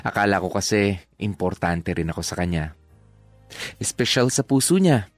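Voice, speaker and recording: Low-voiced jealous young Filipino man confessing acted. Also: expressive, moderate pace, no echo, soft background music. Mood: jealous, sulking. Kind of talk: first-person drama narration.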